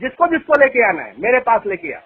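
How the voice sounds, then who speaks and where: A man speaking as a police officer over the phone, bossy and abrupt and talking down to the caller with crude insults. His voice sits very high and comes through a muffled phone recording with faint hiss behind it. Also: sing-song, fast. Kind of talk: heated phone argument. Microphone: phone line. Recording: dull phone call recording with faint noise.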